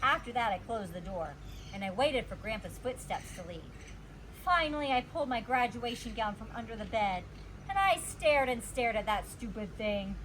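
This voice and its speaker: A woman reading a children's storybook aloud like a teacher, expressive and emphasizing her words. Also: a little high, normal speed, noisy background. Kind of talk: animated storybook read-aloud.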